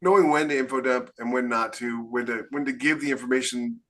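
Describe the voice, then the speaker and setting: A male podcast co-host with a medium-pitched voice. He speaks in a casual, conversational style, as if talking among colleagues. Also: a little fast, a bit bright.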